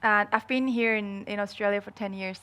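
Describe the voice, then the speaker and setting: A woman with a Swiss accent speaks in a medium-pitched voice as a guest on an interview. She is casual and chatty, with a playful, joking way of telling things. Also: fairly even intonation, fairly quick, a bit bright, slight background noise. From Switzerland. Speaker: interview guest, marketing entrepreneur.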